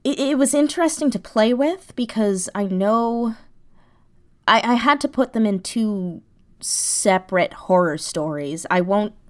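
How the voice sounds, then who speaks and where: Female interview guest, mid-pitched. Casual, animated, self-deprecating, laughs easily. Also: a little slow. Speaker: interview guest, audio drama writer and performer.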